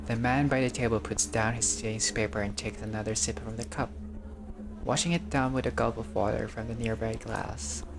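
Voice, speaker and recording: A man's voice: on the low side, steady tone, normal speed, creaky, music in the background. A man narrating a visual novel playthrough, reading the text aloud and adding casual commentary as a gamer.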